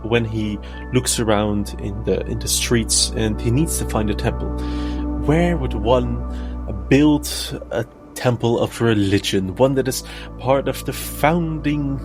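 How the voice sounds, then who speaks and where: Deliberate male roleplayer over clear music. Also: medium pitch. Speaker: tabletop game player. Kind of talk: descriptive third-person roleplay narration.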